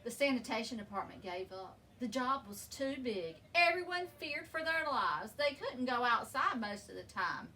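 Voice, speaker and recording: Higher-voiced woman reading a storybook, distant mic. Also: very animated, moderate pace, few pauses, bright, forceful tone. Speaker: story reader. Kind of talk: children's picture book read-aloud. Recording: distant microphone with background noise.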